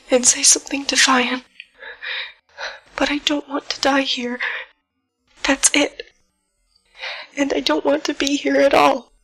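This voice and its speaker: A woman playing a frightened but defiant starship captain in an audio drama, speaking in a halting, emotional monologue. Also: sits high, a bit bright, exaggerated voice. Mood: scared, tearful. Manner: halting, emotional, addressing an unseen foe. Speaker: voice actor in an audio drama podcast. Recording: music behind the voice.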